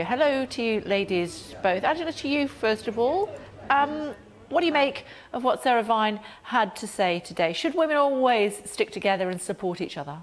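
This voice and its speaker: A woman presenting the news in a television studio, reading headlines in a measured, formal way before introducing a debate. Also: mid-range voice.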